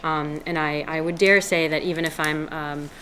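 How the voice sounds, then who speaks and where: A woman's voice: low-pitched, steady tone, moderate pace, a bit bright, a little hiss or hum, low-quality recording. A woman from Israel, a resident speaking at a town hearing, earnest and hesitant with many fillers and restarts. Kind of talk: public comment at a town hearing. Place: town meeting room.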